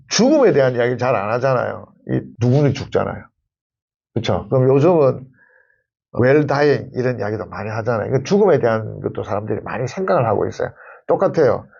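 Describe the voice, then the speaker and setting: Husky, animated middle-aged man giving advice. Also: medium pitch, muffled recording. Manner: emphatic, instructive, repeats key phrases. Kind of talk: conversational advice to camera in an interview.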